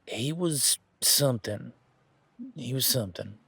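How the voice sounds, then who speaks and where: Guy doing a flustered polite werewolf boyfriend in an audio rp, stammers a lot, casual, breathy voice, dry close mic. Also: medium pitch, lively intonation, a little slow, pushed and bright, dry recording, heavily acted. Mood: amazed, relieved. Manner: stammering, casual, nervous, apologetic. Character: Gavin, flustered, polite, excitable young male werewolf boyfriend. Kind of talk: romantic roleplay audio drama.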